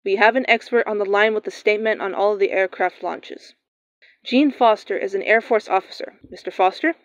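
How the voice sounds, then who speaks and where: A woman playing a radio newscaster, reading breaking news in a steady, formal manner. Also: medium pitch, a little fast, no room sound, close to an everyday voice. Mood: urgent. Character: composed female radio newscaster. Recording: dull lo-fi sound.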